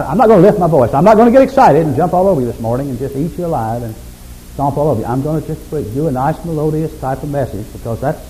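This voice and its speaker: A man preaching a sermon in a folksy, unhurried way, with self-deprecating humor and a monotonous, mellow voice. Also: mid-range voice, a little hiss or hum, rough recording. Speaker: pastor.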